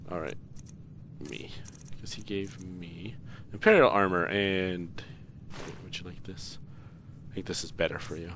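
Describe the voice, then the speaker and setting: Low-voiced man doing casual game commentary. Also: measured pace. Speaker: video game let's player. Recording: clear background noise, game sounds.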